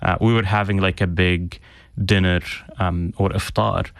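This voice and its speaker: Low gravelly-voiced young man, earnest. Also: little pitch movement, a little slow, dry recording, studio quality. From Syria. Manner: earnest, with frequent ums and restarts. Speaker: interview guest. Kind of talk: reflective interview conversation.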